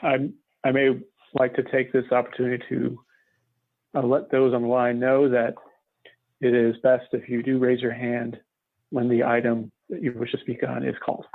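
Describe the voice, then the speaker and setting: American guy handling callers at a meeting, flat delivery, gravelly fry, phone-line sound. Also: mid-range voice, moderate pace. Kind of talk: polite procedural talk, unscripted. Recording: thin phone-like audio.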